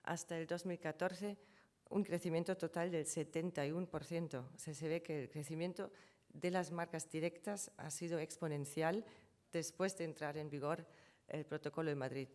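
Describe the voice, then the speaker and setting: A woman's voice: low voice, a little mellow. A woman whose first language is German, giving a conference presentation and explaining figures step by step, often correcting herself as she goes. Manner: explanatory, self-correcting, walks through figures from slides. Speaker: conference speaker on trademarks.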